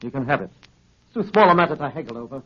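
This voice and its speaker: A man's voice: medium pitch, lively intonation, rapid-fire, natural voice. A man acting a shifty, blustering, greedy blackmailer in 1930s radio drama, sneering and menacing. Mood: grudging, haggling. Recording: muffled, hissy, crackly 1930s radio broadcast.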